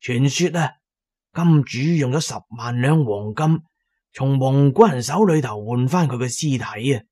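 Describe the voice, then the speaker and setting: An actor with a Cantonese accent playing a shrewd, talkative antique dealer in a radio drama, expounding knowingly and with emphasis. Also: male voice, medium pitch, lively intonation, unhurried, darkish tone.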